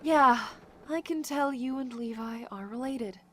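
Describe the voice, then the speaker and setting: A woman playing a polite, mild, curious woman in her twenties in a fan audio drama, speaking in a measured way. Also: high voice. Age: 20s. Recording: clearly noisy background ambience.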